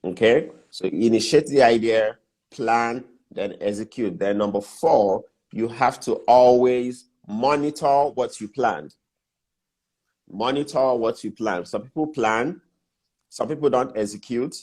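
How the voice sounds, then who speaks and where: A man's voice: medium pitch, unhurried, darkish tone. A middle-aged Nigerian man with a Nigerian accent, a guest mentor who talks through business steps in a casual interview, repeating his key points with emphasis.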